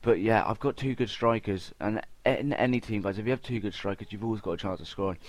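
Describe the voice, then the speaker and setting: Low-voiced young man commentating gameplay. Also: fast, dry recording. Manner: chatty, informal, addresses viewers directly. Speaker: gaming video host.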